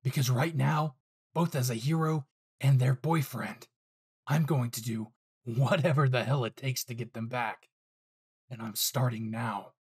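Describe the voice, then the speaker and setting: A man's voice: mid-range voice, fairly even intonation, measured pace, breathy edge. A man voicing a furious, foul-mouthed young male hero in an anime fan audio drama, aggressive and ruthlessly protective. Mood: defiant. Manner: aggressive, profane, defiant.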